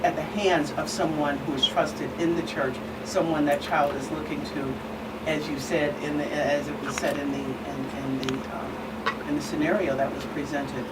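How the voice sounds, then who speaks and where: A woman with a fairly low voice speaks as an expert guest in an earnest discussion. She is measured and emphatic, breaking her points into short phrases. The microphone is some way off, with clear noise behind her. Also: level tone. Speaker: guest expert, reverend and attorney. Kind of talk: earnest talk show discussion. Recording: distant mic, clear background hum.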